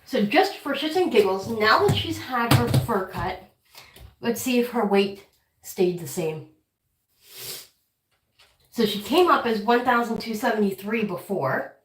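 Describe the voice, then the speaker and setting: A woman with a head cold who keeps clearing her throat, chatting casually on a home livestream while caring for her guinea pigs and talking fondly to them. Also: on the high side, roomy sound, far from the mic, rough recording. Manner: chatty, talks to her pets affectionately. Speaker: guinea pig channel host. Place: room at home, weighing pets on a counter.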